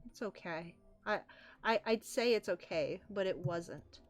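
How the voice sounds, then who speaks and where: A woman's voice: mid-range voice, measured pace, faint music behind, lightly acted. A woman voicing a weary mother rabbit from a comic, solemn and subdued as she speaks. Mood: sorrowful. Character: weary, forgiving mother rabbit scarred by loss.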